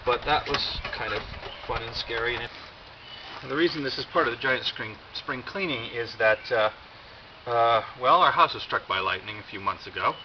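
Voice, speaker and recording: An American man giving a casual, rambling show-and-tell with wry, deadpan humour and a halting delivery. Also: medium pitch. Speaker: video host. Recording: dull lo-fi audio, loud background noise.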